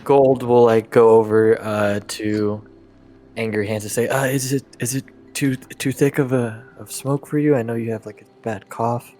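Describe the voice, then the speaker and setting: A man's voice: medium pitch, fairly even intonation, normal speed, mellow. A man improvising role-play as a player in a tabletop game, with a hesitant, tentative, stammering manner. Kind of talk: improvised role-play, describing and speaking for his character.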